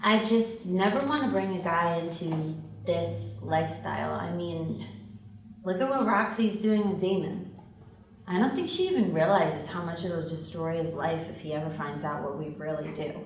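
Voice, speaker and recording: A woman's voice: on the low side, moderate pace, close to an everyday voice. A woman reading the part of a reluctant, guarded young criminal in a screenplay table read. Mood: worried. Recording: very echoey room, distant mic, noise.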